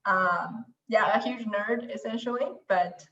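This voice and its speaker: A woman's voice: mid-range voice, a little slow, a bit bright. A woman whose first language is Mandarin, chatting casually and self-deprecatingly, often restarting her sentences. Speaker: guest, language website creator. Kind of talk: casual conversation. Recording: distant, roomy, dull lo-fi sound.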